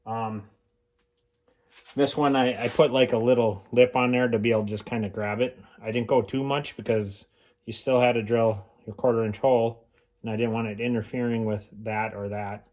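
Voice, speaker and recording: Man giving a halting, casual how-to. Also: medium pitch, steady tone, darkish tone. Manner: halting, informal, thinking aloud. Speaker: hobbyist mechanic presenting a homemade tool demonstration. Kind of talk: casual unscripted how-to explanation.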